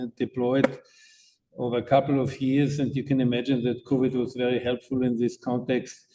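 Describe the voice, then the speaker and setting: A man with an Austrian accent giving a conference talk, speaking haltingly with many fillers and restarts. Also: medium pitch, dark tone. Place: conference hall. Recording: distant mic with faint noise.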